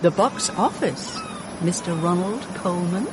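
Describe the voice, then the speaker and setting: A cool, cynical woman of the film world in a radio drama. She teases in a slow delivery with clear background noise. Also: on the low side, expressive. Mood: teasing, cynical.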